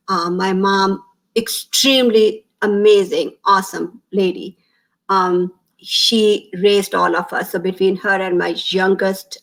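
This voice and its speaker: A woman in her fifties from Pakistan with a Pakistani accent, a town comptroller talking earnestly as an interview guest, pausing often with "um". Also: mid-range voice, creaky, a bit bright, low-quality recording. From Pakistan (Lahore, Punjab). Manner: earnest, many "um" pauses, emphatic lists. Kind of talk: candid interview talk.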